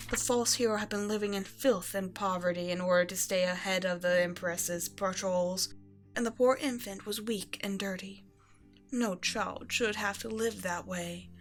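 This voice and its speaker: A woman playing a proud, self-righteous evil empress who is a doting adoptive mother, telling a bedtime story in a measured, regal way in an ASMR roleplay. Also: mid-range voice, natural voice. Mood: tender, possessive.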